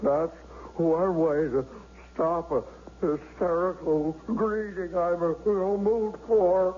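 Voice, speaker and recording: Bitter stammering invalid, slow curt lines, dull old radio sound, faint hiss. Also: male voice, high voice, fairly even intonation. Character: bitter young man, crippled, hostile. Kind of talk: 1970s radio drama acting.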